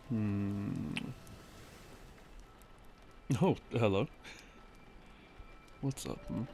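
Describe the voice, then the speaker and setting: A man acts out a tender, easygoing gamer boyfriend in an intimate sleep-aid roleplay. His low voice moves slowly, with plenty of rise and fall. He talks softly and hesitantly, with a teasing edge, as if lulling a partner to sleep. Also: creaky, exaggerated voice. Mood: affectionate, cosy. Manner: soft-spoken, hesitant, teasing, with small chuckles and long pauses. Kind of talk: intimate ASMR boyfriend roleplay, unhurried rambling explanation. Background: background game music.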